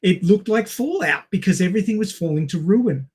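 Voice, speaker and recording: A middle-aged man in a casual film discussion. His voice is fairly high for a man, with a husky edge. He talks emphatically, like a co-host with firm opinions. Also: lively intonation, a little fast, darkish tone. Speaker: podcast co-host.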